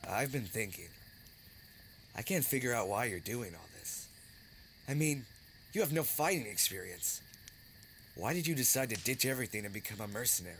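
An actor playing a gruff, protective young villager with a blunt, brusque way of talking, in an audio drama. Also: male voice, on the low side, lively intonation, normal speed, pauses often, a bit bright, slight background noise. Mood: gruff, casual.

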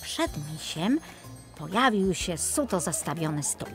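A woman's voice: lowish voice, big pitch swings, unhurried, faint music behind. A woman narrating a children's story expressively as the storyteller.

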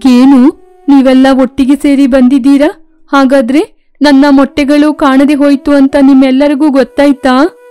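A woman voicing a grieving, indignant eagle in a children's cartoon, loud and emotional. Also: sits high, level tone, normal speed, no echo, soft background music, close to an everyday voice. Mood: hurt, indignant. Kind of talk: children's cartoon story acting.

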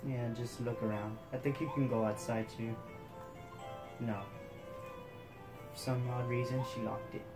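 A woman's voice: very deep voice, fairly even intonation, a little slow, pauses often. A woman hosting a let's play, musing casually over the game and laughing often. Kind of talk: casual gameplay commentary. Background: game music.

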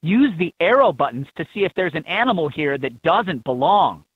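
A man reading scripted instructions for a children's learning game in an expressive, instructive manner. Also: on the high side, normal speed, slightly rough, no echo. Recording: thin, phone-like lo-fi game audio.

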